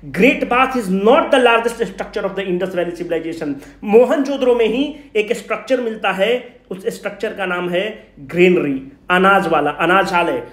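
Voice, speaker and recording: High-pitched male coaching teacher, echoey room. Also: a bit bright. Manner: asks questions and answers them himself; informal, addresses students directly. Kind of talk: energetic lecture to exam students.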